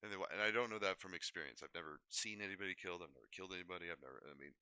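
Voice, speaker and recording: Deep-voiced man with a very bright, forceful edge. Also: very fast, clear, resonant tone, no echo. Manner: hesitant, digressive, self-correcting mid-thought. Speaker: livestream host. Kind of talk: rambling unscripted livestream talk.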